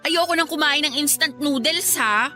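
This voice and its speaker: A woman's voice: high-pitched, moderate pace, lightly acted. A woman playing a frank, teasing younger sister in a Filipino radio drama, blunt and cheeky, with a Filipino accent. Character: frank, teasing teenage younger sister, wiser than her age. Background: music.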